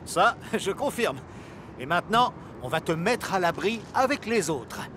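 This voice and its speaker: Un homme interprète un capitaine de port calme et bienveillant, qui parle sur un ton rassurant. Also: male voice, sits high, unhurried, background noise. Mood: reassuring. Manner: reassuring, gentle. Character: kind, calm harbour captain, adult man. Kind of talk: French cartoon dub.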